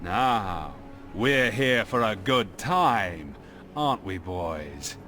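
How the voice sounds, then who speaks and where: An actor playing an arrogant, lecherous villain lord with a smug, mocking, drawling delivery in a video game. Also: male voice, fairly low pitch, lively intonation, drawn-out words, bright, forceful tone, soft background music, heavily acted.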